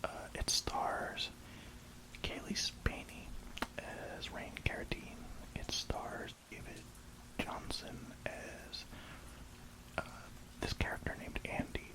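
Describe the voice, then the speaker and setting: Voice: slow pace, pauses often, poor audio. A person whispering an informal ASMR review, rambling along hesitantly with frequent asides. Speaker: ASMR video creator reviewing a film.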